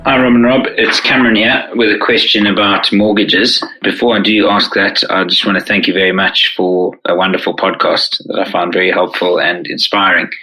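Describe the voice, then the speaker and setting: South African man, deep but bright voice, polite measured voicemail caller. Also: level tone, poor audio. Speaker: listener caller. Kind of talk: recorded voicemail question.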